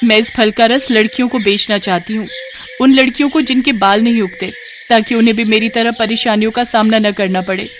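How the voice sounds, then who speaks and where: A woman's voice: fairly high pitch, fairly even intonation, a little fast, a little hiss or hum, natural voice. A woman voicing a meek, wronged young daughter-in-law in a children's story, tearful and pleading. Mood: hopeful.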